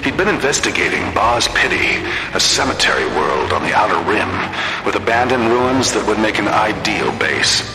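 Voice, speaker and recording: A battle-hardened rebel commander recounts missions in a video game cutscene with grim resolve. His voice sits a little low and is delivered in measured, dramatic phrases. It rings with hall-like reverb over very loud music. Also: lively intonation. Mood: grim, determined.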